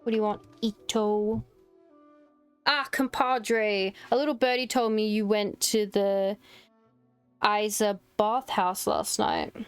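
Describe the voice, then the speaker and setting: A woman's voice: mid-range voice, steady tone, takes it slowly, clear, resonant tone, soft background music. A woman streaming a game casually, informally reading dialogue aloud and muttering her own reactions in between.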